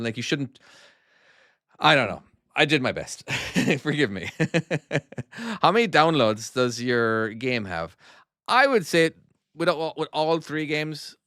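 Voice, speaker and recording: A man's voice: mid-range voice, a bit bright, clean tone, no room sound, studio quality. An Irish man who makes trucking games, chatting casually and self-deprecatingly on a live stream while playing and answering chat. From Ireland. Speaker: game developer streaming his own game.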